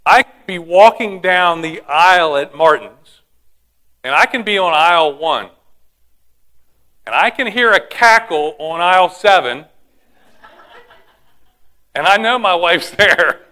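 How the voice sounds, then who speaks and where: A high-pitched man preaches with a bright, forceful tone. He tells stories and asks the congregation questions as he teaches. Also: expressive, slow pace, pauses often. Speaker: pastor. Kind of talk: sermon.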